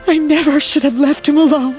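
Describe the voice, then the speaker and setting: A woman's voice: sits high, fairly even intonation, fairly quick, darkish tone, close to an everyday voice. An actress playing an anxious, grieving tribeswoman in a video game, hesitant and earnest as she pleads. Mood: sorrowful. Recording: music under the voice.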